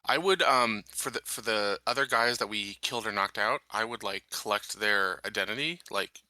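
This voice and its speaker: A man's voice: fairly low pitch, normal speed, pushed and bright, no echo. A man chatting casually as a tabletop game player, tentative and questioning in his phrasing.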